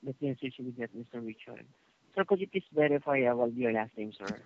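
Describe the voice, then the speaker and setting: A man's voice: mid-range voice, lively intonation, fast. A male telemarketer speaks formally and politely, working through a customer service script. He sounds muffled over the phone, with faint noise on the line. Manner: polite, formal, constantly says sir. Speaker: telephone service agent, telemarketer. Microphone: telephone.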